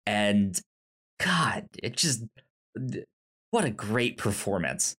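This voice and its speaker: A man co-hosting a casual review podcast, speaking emphatically with frequent stammered, halting starts. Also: lowish voice, sing-song, a bit bright, slightly rough. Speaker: podcast co-host.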